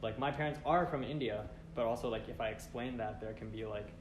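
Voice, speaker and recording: A man's voice: medium pitch, brisk, noisy background. Young man talking in a roomy space. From Boston, United States. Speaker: student panelist. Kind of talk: casual personal testimony. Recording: roomy room with background music.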